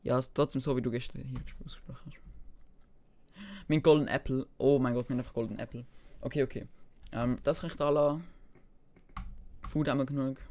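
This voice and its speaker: A young man with a Swiss German accent, chatting casually on a gaming livestream and mixing in English gamer slang, with a medium-pitched voice. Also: darkish tone. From German-speaking Switzerland. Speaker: live streamer. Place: indoors at a computer, keyboard typing behind.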